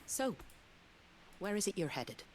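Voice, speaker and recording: An actress playing a wary, plain-spoken innkeeper in a video game. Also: female voice, medium pitch, sing-song, a little slow, a little mellow, slight background noise. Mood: helpful. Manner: guarded, plain-spoken, folksy. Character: wary, blunt woman innkeeper. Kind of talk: game dialogue.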